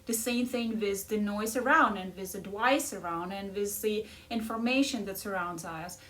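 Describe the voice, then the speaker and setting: A woman's voice: medium pitch, moderate pace, fairly bright tone, recorded from a distance. A middle-aged woman with a Ukrainian accent, discussing a book and coaching listeners in an encouraging way, often checking in with "right?". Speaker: life coach and podcast host.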